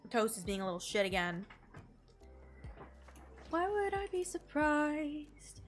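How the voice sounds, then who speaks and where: Young woman game streamer, stuffed-up and a bit nasal, breezy slangy chatter, pitched a touch high. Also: big pitch swings, takes it slowly, faint music behind. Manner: chatty and slangy, swears casually. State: congested, a little nasal. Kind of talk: casual gameplay chat to stream viewers.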